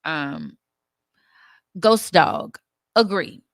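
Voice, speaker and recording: A woman's voice: low voice, drawn-out words, dry recording, studio quality. A woman co-hosting a live sports talk show, casually reading out viewer comments. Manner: lively, plays out quoted voices.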